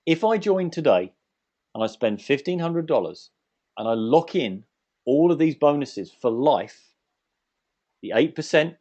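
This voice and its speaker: A man's voice: fairly high pitch, sing-song, a little slow, long pauses, a little mellow, muffled recording. A man giving a methodical, explanatory sales presentation as a webinar presenter on an online call.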